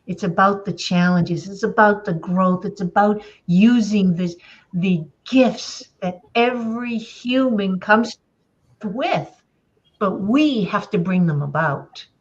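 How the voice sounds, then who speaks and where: A woman talks very slowly in a conversational, motivational style. The recording is somewhat muffled and lo-fi, and her pitch sits in a middle range. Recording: dull, muffled lo-fi audio.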